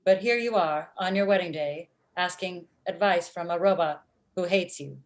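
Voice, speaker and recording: A woman playing a blunt female matchmaking robot with formal, deadpan phrasing in a comedy table read over video call. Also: lowish voice, moderate pace, lightly acted. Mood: measured, frank. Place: indoor room at home.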